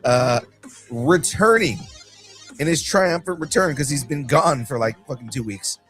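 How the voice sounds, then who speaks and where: A young man hosting a casual podcast, excitable and swearing freely, with a light cold and a slight cough. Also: on the high side, a little slow, soft background music. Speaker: podcast host.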